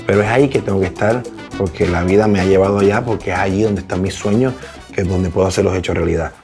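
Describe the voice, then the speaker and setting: Man, Puerto Rican accent, low pitch, quick pace, personal interview, faint salsa backing. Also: steady tone, music in the background. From Puerto Rico.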